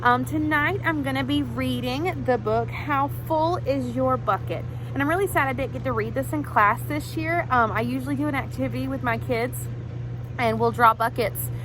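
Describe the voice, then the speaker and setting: A woman schoolteacher reading a storybook aloud to children in an expressive way, engaging her listeners as she goes. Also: high voice, normal speed, background noise. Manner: animated, dramatizes dialogue and asks listeners questions.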